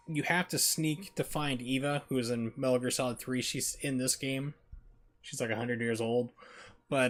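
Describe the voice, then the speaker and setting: A man casually commentating on a video game as he streams it live. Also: medium pitch. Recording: noisy stream with game sound. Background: video game ambience.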